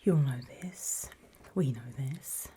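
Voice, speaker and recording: A woman playing a weary, wary zombie apocalypse survivor in a halting, breathy whispered ASMR horror roleplay. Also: extremely low pitch, mellow, a little hiss or hum. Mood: grim, hushed. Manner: halting, hesitant, slow and trailing off.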